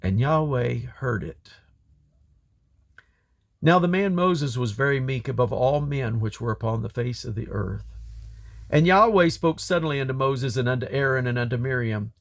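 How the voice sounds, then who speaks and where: Gravelly man reading scripture aloud. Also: mid-range voice. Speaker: Bible reader. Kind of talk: scripture reading, King James English.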